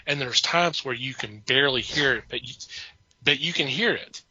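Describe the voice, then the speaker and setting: A man chatting casually about films as a podcast co-host, in a halting way that repeats words and restarts sentences. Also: mid-range voice, pushed and bright, husky, no room sound, dull audio. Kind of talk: casual film chat.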